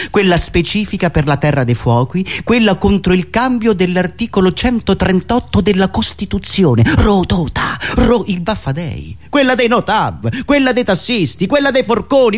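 A man performs a satirical stage monologue. His voice sits somewhat high and swings widely in pitch. He is dramatic and emphatic, using drawn-out pauses for effect. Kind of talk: theatrical comic monologue.

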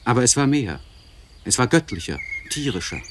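An actor playing an anguished, articulate nobleman in a literary radio play monologue, speaking in a solemn, searching manner with deliberate phrasing and elevated diction. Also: mid-range voice, big pitch swings, noisy background, close to an everyday voice. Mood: impassioned. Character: anguished, articulate nobleman confiding by letter to a friend.

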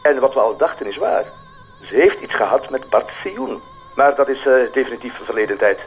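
A man's voice: medium pitch, sing-song, a little fast, muffled recording, soft background music, close to an everyday voice. A man playing an eager, slightly hesitant Flemish police colleague reporting news in a radio drama. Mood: pleased, informative. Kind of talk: naturalistic radio drama acting.

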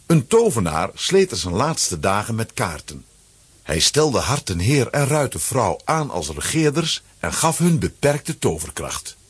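Very husky male storyteller, lowish. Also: no echo. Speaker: narrator. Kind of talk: scripted children's story narration.

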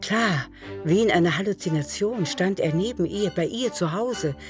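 A woman's voice: on the low side, lively intonation, moderate pace. A woman reading scripted literary narration for a radio drama. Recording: music behind.

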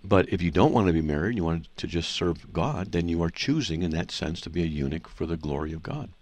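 A man's voice: deep voice, lively intonation, a little fast, a little mellow. A man hosting a call-in radio show, explaining scripture to callers in an assertive, teaching manner. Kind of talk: call-in radio Bible answers.

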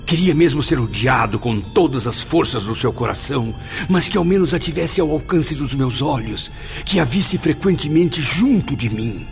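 A man's voice: medium pitch, animated, normal speed, creaky, soft background music. An actor playing a cruel, obsessed Spanish nobleman in a radio drama, theatrical, menacing and impassioned. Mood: bitter confession.

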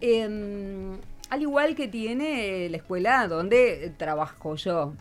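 A woman's voice: mid-range voice, expressive, takes it slowly. A woman with an Argentine accent from Buenos Aires, hosting a casual radio interview in a chatty, encouraging way. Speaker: radio host and teacher. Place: radio studio.

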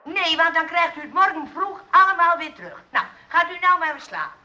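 High-voiced, fretful nursing sister. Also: female voice, animated, a little fast, bright, forceful tone, dull audio, full character voice. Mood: flustered, reassuring. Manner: anxious, hesitant, scolding. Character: fretful, well-meaning nursing sister, bossy and flustered. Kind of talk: Dutch radio play acting. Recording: noisy old radio play recording.

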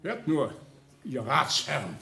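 Radio play: mocking, grandiloquent male official, slow declamation with wide melodic swings, roomy echo. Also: medium pitch, very animated, gravelly, background noise, exaggerated voice. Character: officious, mocking councillor declaiming a satirical speech. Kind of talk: German radio play acting. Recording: roomy, echoing radio studio sound.

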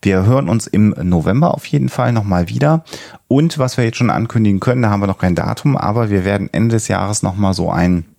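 A man speaks as a podcast co-host in a very deep register with plenty of vocal fry. His delivery is informal and directed at the listeners. The studio sound is very dry. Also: a little fast, mellow, dry recording. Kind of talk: casual podcast chat with listener announcements. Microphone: headset.

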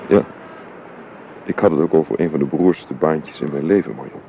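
An actor playing a grave, troubled father in a radio drama, speaking in a halting, solemn manner. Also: rumbling low, creaky. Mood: regretful, firm. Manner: halting, solemn, repeats himself. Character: grave, troubled widowed father dismissing his housekeeper. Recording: dull, noisy old radio recording.

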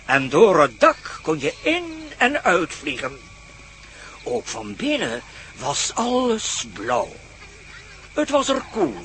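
An actor playing a solemn storyteller who recounts an ancient legend in a radio play, with deliberate, dramatic phrasing. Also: male voice, very high-pitched, fairly bright tone, slight background noise, heavily acted.

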